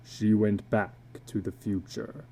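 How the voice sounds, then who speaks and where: Casting: a male reader as a haunted, bitter older carnival drifter from a short story; low, dark voice, grave and brooding. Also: little pitch movement, slow pace, vocal fry, slight background noise. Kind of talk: dramatic reading of a short story.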